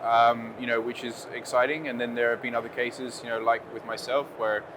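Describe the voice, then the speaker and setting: A man's voice: mid-range voice, level tone, fairly quick. A British man whose first language is Dutch, answering interview questions conversationally and hesitantly, with many filler phrases. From United Kingdom. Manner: hesitant, with frequent "you know". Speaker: interview guest, game studio general manager. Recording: clear background noise, busy venue ambience.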